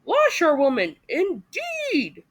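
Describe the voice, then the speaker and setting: A woman's voice: extremely high pitch, huge pitch swings, slow, voice pushed up, heavily acted. A woman voicing a boastful, reckless male toad from a storybook, with a dramatic, exclamatory delivery. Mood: reckless, proud.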